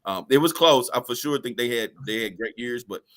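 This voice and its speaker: A slightly hoarse man co-hosting a casual sports podcast, loose and repetitive, full of "you know what I mean.". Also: medium pitch, a little fast, fairly bright tone.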